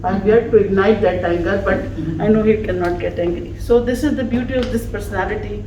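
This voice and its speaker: A woman whose first language is Urdu delivers an emphatic, affectionate farewell tribute at an easy, deliberate pace. She is recorded from across an echoey office room with faint background noise. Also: mid-range voice, far from the mic. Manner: slow, warm, emphatic, laughing between phrases. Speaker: speaker at a farewell gathering. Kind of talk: heartfelt tribute speech.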